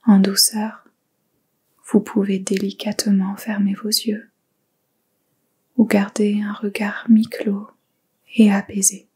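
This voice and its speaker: Slow, softly whispering woman. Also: medium pitch, husky. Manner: soft whispered delivery; slow, calm instructions. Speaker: yoga nidra teacher. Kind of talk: guided meditation.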